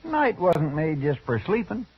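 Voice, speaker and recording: An actor playing a folksy, deferential deputy with a rural American accent and a homespun drawl in a 1950s radio western. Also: male voice, on the high side, sing-song, a little slow, husky, full character voice. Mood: sheepish, amiable. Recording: muffled old radio recording.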